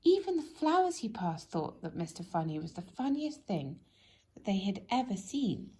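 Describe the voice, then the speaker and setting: A woman reading a children's story aloud in an expressive, animated way, speaking directly to young listeners. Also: mid-range voice, normal speed, slight background noise. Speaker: storybook reader.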